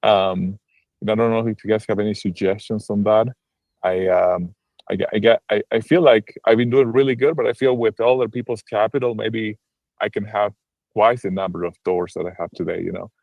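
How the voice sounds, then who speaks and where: A man's voice: fairly low pitch, fairly even intonation, brisk, darkish tone, no room sound, poor audio. A man from Argentina, a real estate broker, talking on a livestream video call, hesitant with many restarts and fillers. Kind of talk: casual livestream call-in chat.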